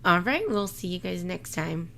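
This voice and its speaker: A woman's voice: on the low side, animated, normal speed, gravelly, bright, forceful tone. A woman hosting a casual live chat show, with a giggly, slangy way of talking. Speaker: live panel show host. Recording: faint background noise.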